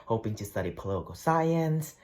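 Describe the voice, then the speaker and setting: A man's voice: lowish voice, sing-song, a little fast, a little mellow. A young man from Chicago, a student, telling his story loosely and casually. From Chicago, USA. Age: young adult. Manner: casual, loose, says "y'all". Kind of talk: casual personal account.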